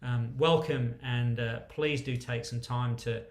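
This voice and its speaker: A man's voice: medium pitch, steady tone, measured pace. A man hosting an interview, speaking effusively with emphatic superlatives as he introduces and talks to a guest. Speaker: interview host. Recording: roomy, echoey sound.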